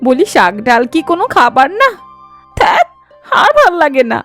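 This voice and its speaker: A woman's voice: sits high, very animated, normal speed, a bit bright, no room sound, faint music behind, exaggerated voice. A woman voicing a bossy, exasperated young wife in a cartoon, whiny, melodramatic and scolding. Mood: irritated nagging. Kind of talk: cartoon family drama acting.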